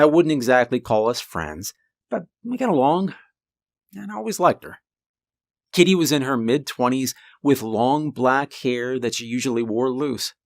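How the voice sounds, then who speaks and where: Man, deep voice, horror story narration, calm conversational telling, mid pitch, dry close sound. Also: no room sound. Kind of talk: first-person scripted horror narration.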